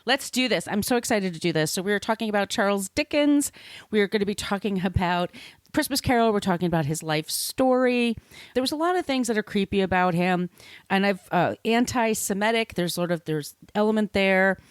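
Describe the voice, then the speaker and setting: Woman, podcast co-host, medium pitch, informal and salty, close dry recording. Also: expressive, fairly quick, clear, resonant tone, no echo. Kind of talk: casual podcast chat.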